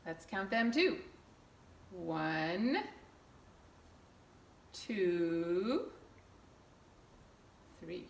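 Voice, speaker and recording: A woman's voice: on the low side, expressive, extremely slow, pauses often. A middle-aged woman leading a storytime for toddlers, speaking in simple, short, repeated phrases as a children's librarian. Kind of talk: children's storytime, reading aloud and counting along. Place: small indoor room with curtain backdrop. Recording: echoey room with clear background noise.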